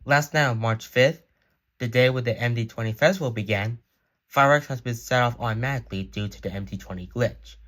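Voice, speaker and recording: A man's voice: medium pitch, a little fast, fairly bright tone. A man speaking as an emergency broadcast announcer, reading a scripted news announcement in a formal, solemn manner.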